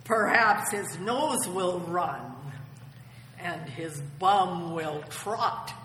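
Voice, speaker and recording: Sardonic storybook wolf female performer, growling, forceful, slow, in an echoing hall. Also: lowish voice, a little hiss or hum. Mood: mocking.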